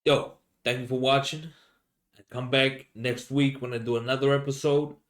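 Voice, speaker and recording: A young man from Finland with a Finnish accent, speaking English as a second language, rambling casually as a solo podcast host and often pausing to search for words. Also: medium pitch, fairly even intonation, a bit bright. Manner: halting, many fillers and restarts, searching for English words.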